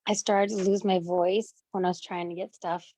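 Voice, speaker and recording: A woman guest chatting casually, telling her own experiences in an animated way and acting out what she said to herself. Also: mid-range voice, normal speed, dry recording. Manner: animated storyteller, acts out her own inner quotes, laughs often. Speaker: guest client. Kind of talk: casual conversation.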